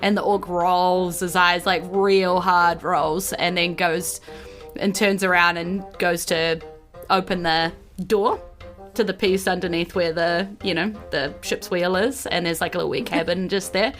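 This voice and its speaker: A woman's voice: lowish voice, steady tone, measured pace, fairly bright tone. A woman, narrating a tabletop game as game master in a casual, chatty, giggly way. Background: faint background music.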